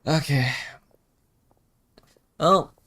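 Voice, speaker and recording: A man doing casual live game commentary and reading dialogue aloud in a low-key, deadpan murmur. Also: extremely slow, no room sound. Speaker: game streamer.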